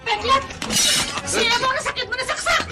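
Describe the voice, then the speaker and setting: An actress in a Filipino radio drama playing a frantic, excitable friend raising the alarm, with a Filipino accent. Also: female voice, extremely high pitch, moderate pace, over music. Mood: panicked pleading. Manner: urgent, excitable.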